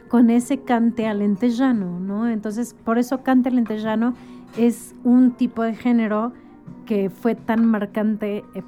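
A woman's voice: medium pitch, dark tone. A woman talking as a guest in a casual conversation, explaining things like an informal storyteller and often checking for agreement. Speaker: interview guest.

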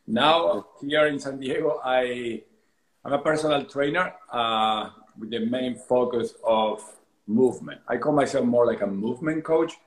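A man's voice: medium pitch, a little slow, roomy sound, rough recording. A man, a movement coach explaining his work earnestly on a call, tagging sentences with "you know" and "right?". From Argentina. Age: 40s. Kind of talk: casual conversation.

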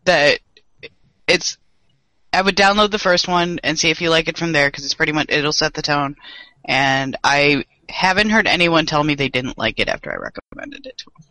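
A man's voice: a little high, normal speed, pushed and bright, dry recording, rough recording. A young man co-hosting a casual podcast chat, joking and swearing freely, with a dry voice today. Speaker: podcast co-host.

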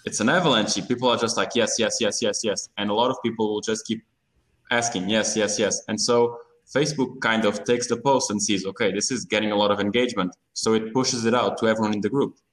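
Low-pitched man in casual interview. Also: fairly even intonation, a little fast, a bit bright, rough recording. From Portugal. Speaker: startup founder, interview guest.

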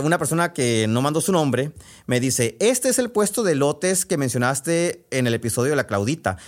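A man with a Sonoran Mexican accent hosting a podcast, chatting casually in a folksy, halting way with asides as he reads out messages. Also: fairly high pitch. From Sonora, northwest Mexico. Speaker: podcast host and storyteller. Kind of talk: casual chat, reading out listener messages.

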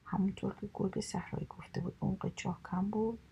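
A woman whispers her way through animal fables in an unhurried, even hush. Her voice is very breathy and husky, with a gravelly fry and a dark, mellow color. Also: medium pitch. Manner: soft, steady whisper. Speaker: storyteller. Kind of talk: whispered fable reading, ASMR.

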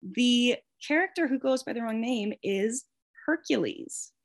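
Animated woman quizmaster, higher-pitched voice. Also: a little slow. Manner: encouraging. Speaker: guest quizmaster. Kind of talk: casual spoken quiz hosting.

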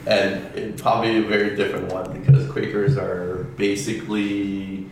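A man who grew up in Taiwan, a real estate attorney giving halting answers with many ums in a casual interview. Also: fairly low pitch, flat delivery, a little mellow, a little hiss or hum. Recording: distant echoey mic with music behind.

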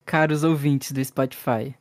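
A man's voice: medium pitch, fairly even intonation, unhurried, no room sound, clean studio sound. A man with a Brazilian accent chatting casually as a player and co-host on a role-playing podcast.

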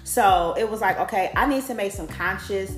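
A woman's voice: mid-range voice, moderate pace, roomy sound, faint music behind, poor audio. A woman in her forties hosting a podcast, talking candidly in a blunt, profane, conversational way with lots of "like". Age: 40s. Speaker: podcast host. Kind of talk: candid personal monologue.

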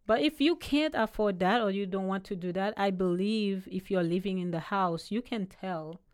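A woman's voice: medium pitch, moderate pace, clean tone, no room sound, studio quality. A woman from Haiti with a Haitian and Caribbean island accent, a realtor chatting in an interview, laughing often and repeating words as she thinks. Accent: Haitian, with U.S. Virgin Islands Caribbean touches. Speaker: realtor guest. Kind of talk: casual interview chat.

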